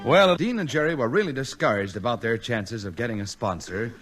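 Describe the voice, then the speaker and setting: A man's voice: medium pitch, expressive, a little fast. A man giving scripted narration as an announcer on a vintage radio comedy. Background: music.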